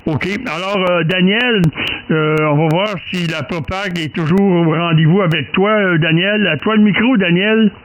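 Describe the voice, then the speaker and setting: Quebec guy, kinda higher voice, hesitant rambly ham radio chat, noisy clicky recording. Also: normal speed, a bit bright, dull audio. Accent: Quebec French. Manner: rambling, hesitant, repeats himself. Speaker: amateur radio operator leading a group contact.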